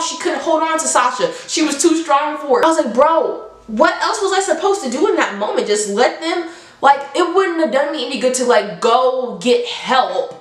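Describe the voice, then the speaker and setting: Casting: young woman, slightly high voice, informal storytime vlogger, emphatic and exasperated, slangy delivery, echoey room sound. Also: a bit bright. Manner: emphatic, exasperated, repeats phrases for effect; informal slangy speech, acts out dialogue. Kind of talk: casual animated storytelling.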